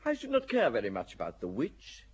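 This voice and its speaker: An actor playing a cool, cynical English nobleman in a radio drama, speaking in a languid, wry, unhurried way. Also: mid-range voice, huge pitch swings, dull audio, a little hiss or hum. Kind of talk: classic radio drama acting.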